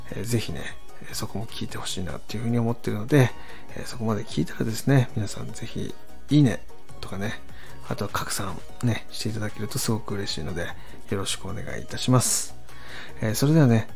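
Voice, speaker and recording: A man hosting an audio channel, making a casual promotional announcement politely, in short halting phrases. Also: fairly low pitch, creaky.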